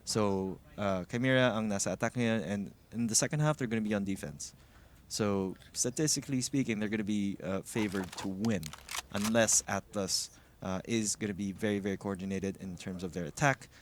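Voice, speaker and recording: A man with a Filipino accent and a fairly low voice commentates a live game. He explains plays in a measured way, dropping in some Tagalog, over faint noise and clicks. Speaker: esports shoutcaster.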